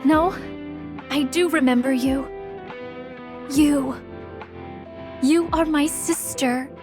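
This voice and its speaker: An actress playing a young, earnest swordswoman in a video game, with formal, archaic, deliberate speech. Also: high voice, steady tone. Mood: stiff, solemn. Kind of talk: dramatic anime game voice acting. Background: music behind the voice.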